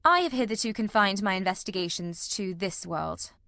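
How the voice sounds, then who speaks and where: A woman plays a keen, commanding detective in a storybook reading. The delivery is formal, analytical and inquisitive, at a medium pitch for a female voice, in a dry recording with no room sound. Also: expressive, normal speed, bright, forceful tone, no room sound. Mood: wry, questioning. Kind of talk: audiobook character reading.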